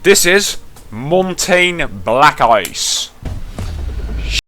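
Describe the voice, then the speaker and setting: A man who grumbles sarcastically through his pack openings and then jumps into sudden excited shouts. His pitch swings widely, and his tone stays bright and tense. Faint music plays underneath. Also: extremely slow. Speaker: gaming content creator. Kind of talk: casual commentary while opening game packs.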